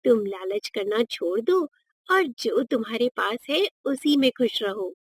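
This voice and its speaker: A woman voicing a wise, motherly fairy in a cartoon story, kind but stern, with a measured, moralising manner. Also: fairly high pitch, lively intonation, husky, dry recording, clean studio sound. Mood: stern admonishing.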